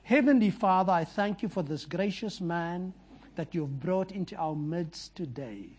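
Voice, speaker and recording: A high, husky male voice with an Afrikaans first language, leading a church service. He prays fervently and chats easily with the people in the pews. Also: measured pace, darkish tone. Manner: fervent prayer, chatty asides to congregants. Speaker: visiting preacher. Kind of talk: sermon and prayer.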